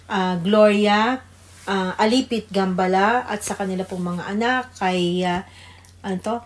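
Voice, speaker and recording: A woman with a Filipino accent co-hosting a devotional radio program in a reverent, conversational way. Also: medium pitch. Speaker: radio devotional co-host, pastor's wife. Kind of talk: informal religious radio greetings and prayer.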